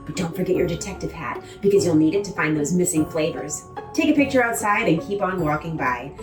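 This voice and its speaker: A woman's voice: fairly low pitch, lively intonation, fairly quick. A woman giving a sardonic, theatrical reading of a scathing review. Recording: roomy, distant mic, music behind. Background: music.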